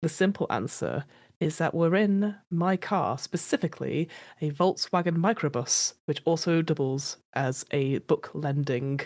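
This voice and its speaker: A woman's voice: low voice, measured pace, darkish tone. A woman improvising details for a tabletop roleplaying game in a casual, chatty, rambling way. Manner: chatty, rambling, self-questioning. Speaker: player in a roleplaying podcast.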